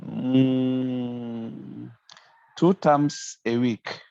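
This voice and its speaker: Slow halting man on a lo-fi call. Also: medium pitch, steady tone, creaky, mellow, clean tone, no room sound. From South Africa. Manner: halting, short answers. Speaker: student. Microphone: video call.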